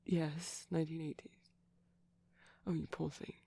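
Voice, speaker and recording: A man playing a shy, gentle vampire boyfriend in an intimate ASMR roleplay, soft-spoken, breathy and creaky, rambling hesitantly and dipping toward whispers. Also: fairly high pitch, level tone, unhurried, no room sound, heavily acted. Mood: cozy, hesitant.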